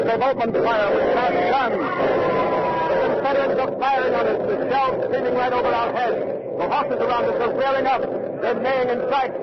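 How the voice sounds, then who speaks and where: Frantic, very high-pitched battlefield correspondent. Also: male voice, very noisy. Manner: urgent, breathless blow-by-blow reporting. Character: frantic male battlefield radio correspondent under fire. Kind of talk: 1940s radio acting. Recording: muffled old radio, loud battle din.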